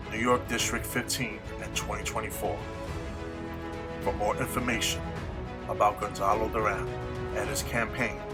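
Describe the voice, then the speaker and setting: A man reading a political ad in a measured, even delivery over loud music. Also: on the low side. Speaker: ad announcer. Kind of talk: read political ad.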